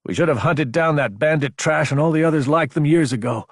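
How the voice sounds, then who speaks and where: A man's voice: mid-range voice, brisk, darkish tone, breathy edge, dry recording, clean studio sound. A man voicing an intense, anguished young military officer in an audiobook, insubordinate and emphatic. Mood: fierce conviction. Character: young male military officer, fierce, anguished, self-doubting. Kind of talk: audiobook character acting.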